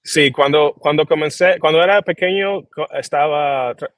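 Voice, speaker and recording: Guy in his 30s on a video call, relaxed halting chat, lots of ums, dry sound. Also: fairly high pitch, dry recording. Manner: relaxed, halting, many fillers and restarts; switches between English and Spanish. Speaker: founder and creative director, guest. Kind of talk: casual interview chat.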